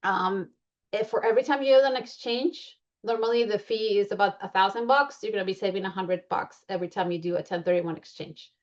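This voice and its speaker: A woman's voice: medium pitch, a little fast, muffled recording. A woman with a Colombian accent presenting membership benefits in a chatty way, with many fillers and restarts. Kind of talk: presentation walking through slides.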